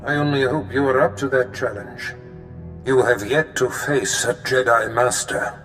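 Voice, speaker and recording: An actor playing a scheming, contemptuous Sith master in a video game, with a formal, grandiose and menacing manner. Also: male voice, medium pitch, fairly even intonation, unhurried, a bit bright, lightly acted. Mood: stern, threatening. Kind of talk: video game cutscene acting. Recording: game music behind the voice.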